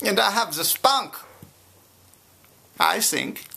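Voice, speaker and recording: A woman doing a haughty German man, creaky voice. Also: medium pitch, expressive, slow, pushed and bright, full character voice. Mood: indignant. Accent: put-on German. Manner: indignant, haughty complaining in broken English. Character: pompous German man with clumsy English. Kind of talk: improvised comedy sketch.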